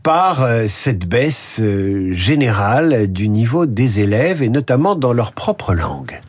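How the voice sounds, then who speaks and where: Man, radio morning host, husky, formal phrasing, dry close mic. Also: mid-range voice, big pitch swings, slow, no echo. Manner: formal, elaborate questions with fillers. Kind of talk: radio interview questions.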